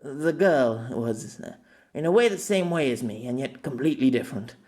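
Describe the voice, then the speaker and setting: A man's voice: mid-range voice, lively intonation, moderate pace, a little mellow, lightly acted. A man voicing an earnest, reserved man who speaks hesitantly and reflectively in an audiobook. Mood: earnest, confessional. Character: earnest, wistful, reserved man confiding his feelings. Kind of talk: amateur audiobook character dialogue.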